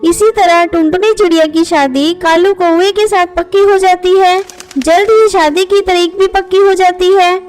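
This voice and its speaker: A woman's voice: extremely high pitch, fairly even intonation, moderate pace, a little mellow, soft background music. A woman narrating a scripted children's moral story as its storyteller.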